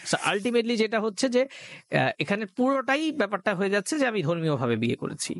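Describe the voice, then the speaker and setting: A Bangladeshi man with a fairly high voice presents a radio show in a very dry, close recording. He addresses his listeners directly in an earnest, emphatic monologue. English words slip into his sentences as he makes his appeals. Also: very animated, brisk, fairly bright tone, no room sound. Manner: code-mixes English words into speech.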